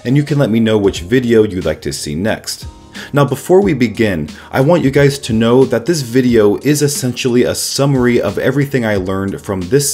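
A man with a cold, his voice slightly nasal and raspy, narrating a tech explainer as the channel's host in a steady, explanatory way. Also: medium pitch. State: sick with a cold. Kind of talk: scripted voice-over narration.

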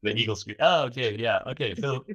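A man's voice: medium pitch, brisk, bright, forceful tone. A man in his forties from the southern United States, giving a casual, rambling, self-deprecating talk with many fillers at a symposium. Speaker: presenter at a symposium. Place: lecture room.

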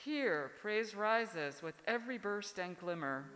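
Bright, slow woman reading liturgy. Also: medium pitch, expressive, a little hiss or hum. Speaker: liturgy reader. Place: church sanctuary at a lectern. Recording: church hall reverb.

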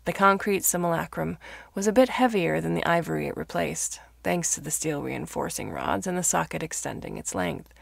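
A woman reading fiction aloud as a narrator, in a measured, expressive storytelling manner. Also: deep voice, creaky, husky, no echo, studio quality. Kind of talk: scripted audiobook-style narration of fiction.

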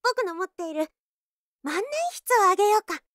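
A woman's voice: very high-pitched, expressive, normal speed, a bit bright, dry recording, studio quality, voice pushed up. A woman voicing a shy, earnest young boy duck in a children's storybook tale. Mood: friendly, eager.

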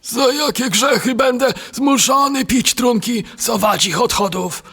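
An actor playing a grumbling, sharp-tongued monk in an audio drama, whining in a creaky, rough voice. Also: male voice, measured pace, heavily acted. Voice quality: creaky, rough, high-pitched. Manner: whining, complaining.

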